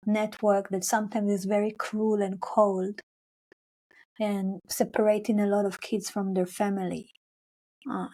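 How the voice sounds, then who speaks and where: A woman's voice: mid-range voice, mellow. A young adult woman singer, a Hebrew speaker talking in English, telling her story in an interview with many fillers and restarts. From Israel-Palestine. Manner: rambling, many fillers and restarts, acts out her own past words.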